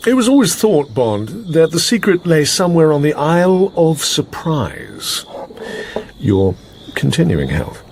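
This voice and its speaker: An actor playing a genial intelligence chief in a radio drama, conversational and musing with hesitant asides. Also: male voice, fairly high pitch, wildly swooping pitch, a little slow, gravelly, darkish tone, a little hiss or hum, exaggerated voice. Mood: genial briefing. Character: chatty, genial British intelligence chief briefing a colleague.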